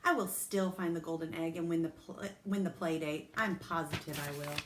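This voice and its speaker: A woman reading aloud, voicing a scheming little boy with a hushed, theatrical way of talking. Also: sits low, steady tone, normal speed, echoey room, a little hiss or hum, close to an everyday voice.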